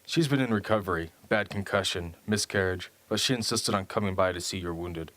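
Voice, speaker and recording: A man playing a steady, commanding military officer in an amateur radio drama. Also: low-pitched, a little fast, no room sound. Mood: steady, reassuring.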